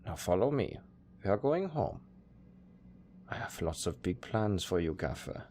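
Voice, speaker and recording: A man's voice: low-pitched, big pitch swings, measured pace, darkish tone, a little hiss or hum. A man playing a pragmatic, chatty necromancer who talks casually and matter-of-factly about grisly work in an audio roleplay. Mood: businesslike, upbeat. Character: chatty, pragmatic male necromancer, former tailor. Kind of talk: intimate audio roleplay acting.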